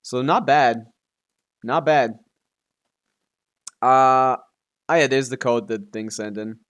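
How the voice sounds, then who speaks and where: A man's voice: mid-range voice, slow, a bit bright, clear, resonant tone. Casual, profane man livestreaming a game. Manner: profane, complaining. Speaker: live streamer playing a video game. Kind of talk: casual rambling gaming chat with viewers.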